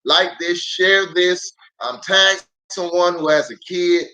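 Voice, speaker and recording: A man speaking as a pastor hosting a children's storytime, unhurried and animated, chatting with viewers and drawing out words for emphasis. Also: high voice, rough recording. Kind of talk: livestream greeting and read-aloud storytelling.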